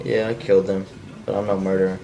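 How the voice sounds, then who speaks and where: Casting: amateur man as edgy folksy killer, monotone and gravelly, blunt, with faint noise behind. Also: deep voice, fast, dull audio. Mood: defensive. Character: edgy, cold-blooded man confessing to killing. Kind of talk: amateur cold table read of a script.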